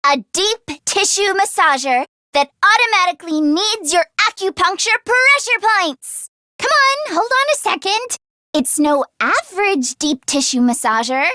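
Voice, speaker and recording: An excitable teenage girl inventor from an anime-style video game. Her voice is very high and sharply bright, with a close, dry sound. She is earnest and expressive, easily flustered. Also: unhurried, no room sound, full character voice. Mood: eager, proud. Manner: expressive, swinging from deadpan grumbling to frantic outbursts.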